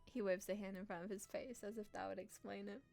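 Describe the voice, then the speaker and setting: Woman, mid-pitched voice, game streamer, playful read-aloud, casual reactions, soft music behind. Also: fast, gravelly. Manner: playful, expressive, teasing. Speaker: live streamer reading a visual novel aloud.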